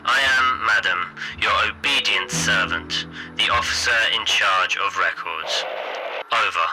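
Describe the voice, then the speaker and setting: An actor playing a stiff, formal army official reading dispatches over wartime radio in a clipped, impersonal way. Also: male voice, on the low side, unhurried, sharp tone, low-quality recording. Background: music.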